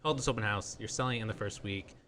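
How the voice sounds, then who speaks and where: A man's voice: on the low side, fast talker, sharp tone, a little hiss or hum. A man hosting a casual podcast chat, thinking aloud informally as he talks. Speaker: podcast host.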